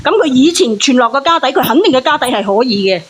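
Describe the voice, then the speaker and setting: A woman's voice: sits high, animated, fast. A woman giving heated casual commentary on a call-in show, blunt and cross-examining. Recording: faint hiss on call audio.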